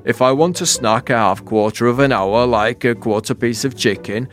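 The night sky come to life as a bossy storybook creature, played by a man, speaking boldly and loudly in a middle-pitched voice, lecturing and giving orders. Faint music plays behind. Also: normal speed, close to an everyday voice. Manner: bold, loud, lecturing. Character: bossy, demanding fallen piece of night sky.

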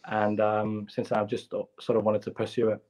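A young footballer from Hong Kong answering interview questions politely with plain answers and fillers. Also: male voice, fairly low pitch, completely flat delivery, a little fast, a little mellow, low-quality recording. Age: young adult. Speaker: youth footballer, guest. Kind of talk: casual interview.

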